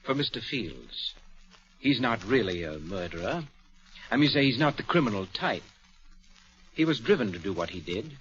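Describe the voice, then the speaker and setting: Nervous, evasive valet, muffled. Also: male voice, lowish voice, sing-song, moderate pace, long pauses, fairly bright tone. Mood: uneasy, evasive. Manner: hesitant, flustered, defensive. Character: nervous, evasive male valet hiding his crimes. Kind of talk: 1940s radio mystery acting. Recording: muffled 1940s radio recording.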